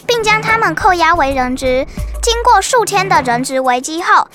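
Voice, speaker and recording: A woman co-hosting a podcast, reading background facts in a lively, informative way. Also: high-pitched. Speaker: podcast co-host. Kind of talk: scripted explanatory narration. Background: background music.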